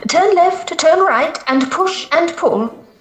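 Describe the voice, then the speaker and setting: Woman acting Edwardian lady medium, high voice, clipped commanding phrases, echoing room, period drama. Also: lively intonation, takes it slowly, faint music behind, heavily acted. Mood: urgent, directing. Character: brisk Edwardian lady medium in a haunted house.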